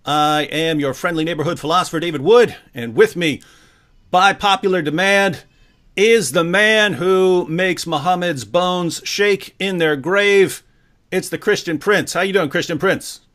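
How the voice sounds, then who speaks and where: A man's voice: high voice, a little slow, a bit bright. A man hosting a casual livestream interview, talking with playful showmanship. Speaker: host.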